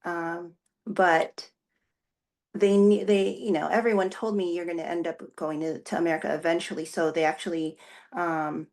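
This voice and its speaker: A woman's voice: on the low side, level tone, moderate pace, a little mellow. A woman in her forties, raised in the Philippines with Tagalog as her first language, answering interview questions as a pastor in a thoughtful way, with many fillers and restarts. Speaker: executive pastor, guest.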